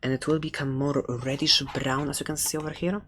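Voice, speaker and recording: A performer voicing a furry animal shopkeeper in an ASMR roleplay, soft-spoken and halting, often slipping toward a whisper, doting with an edge of menace. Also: mid-range voice, flat delivery, slight background noise, close to an everyday voice. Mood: fond, showing off.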